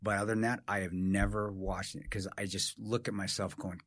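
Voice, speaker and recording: A man's voice: low voice, flat delivery, a little fast, a bit bright, no room sound, clean studio sound. A man, telling stories animatedly in casual podcast chat, swearing and mocking himself. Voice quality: nasal. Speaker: podcast co-host.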